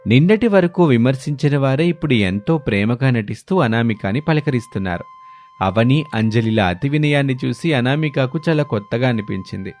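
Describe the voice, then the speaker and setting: A man's voice: mid-range voice, lively intonation, normal speed, darkish tone. Man narrating a moral tale over music. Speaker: storyteller narrator. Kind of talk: scripted moral story narration.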